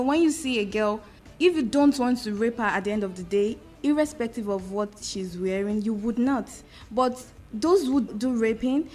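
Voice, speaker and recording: A woman's voice: a little high, a little mellow. A young adult woman speaking as a radio panellist in simple, somewhat hesitant phrases. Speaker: panel discussant.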